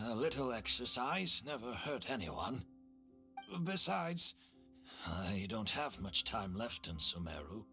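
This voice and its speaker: A man voicing a frail, courteous, wistful gentleman in a video game, breathy and measured, over faint music. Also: fairly low pitch, sing-song, full character voice. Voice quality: breathy, husky. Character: frail, gentle, courteous male master who loves his flowers.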